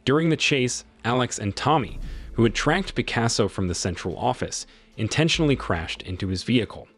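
A man narrating a movie recap in a steady, even storytelling delivery, with a husky voice. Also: mid-range voice, brisk. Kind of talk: scripted narration.

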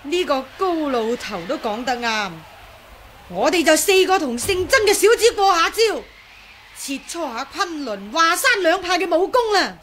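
An imperious, snappish swordswoman in Hong Kong Cantonese. Her voice is high and bright, sweeping widely in pitch. It has the sound of a 1980s radio drama. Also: very animated, moderate pace, heavily acted. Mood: haughty. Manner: haughty, snappish. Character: imperious, sharp-tempered middle-aged swordswoman, sect leader's wife. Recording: noisy old radio drama recording.

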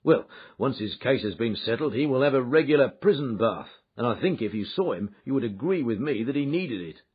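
Man narrating, putting on a big hearty old-school inspector, loud and bluff, voice swings around, slightly muffled recording. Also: mid-range voice, expressive, fairly quick, breathy edge. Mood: matter-of-fact. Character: bluff, hearty middle-aged male police inspector.

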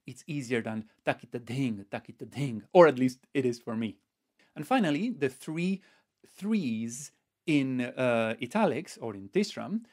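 Methodical man teaching to camera. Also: mid-range voice. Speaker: music teacher, online video host. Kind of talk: instructional explanation to camera.

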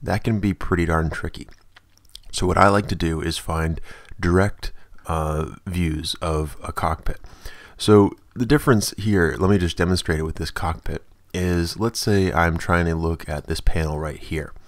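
A man narrating a software tutorial as its host, explaining things in a casual, informal way. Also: low voice, steady tone, normal speed, no room sound, studio quality.

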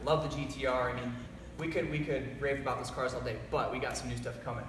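A man's voice: mid-range voice, fairly even intonation, quick pace. Echoey upbeat young man presenting. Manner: upbeat, presenter-like, reads out viewer comments. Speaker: company host presenting a live announcement. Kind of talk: live-streamed company announcement. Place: large echoey garage showroom with cars, indoors. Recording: echoey room from far off, background noise.

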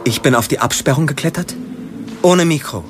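A man's voice: on the high side, animated, moderate pace, close to an everyday voice. A man playing a fervent football ultra who recalls his life as a chant leader, in dramatized audiobook narration. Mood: reminiscing. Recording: loud music and stadium crowd behind.

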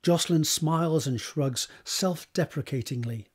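A man narrates a drama script, setting scenes and describing action. His voice sits a little high for a man and has a husky, unpolished grain. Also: unhurried. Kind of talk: scripted narration of stage directions.

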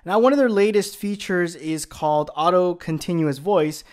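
Male tech presenter, voice a touch high, casual home demo delivery. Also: moderate pace. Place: indoors in a home room.

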